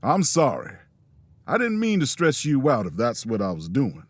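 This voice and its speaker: A man acting as a calm, folksy traveler who narrates an eerie road trip in an audio drama, with a husky, measured delivery. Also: mid-range voice, no echo.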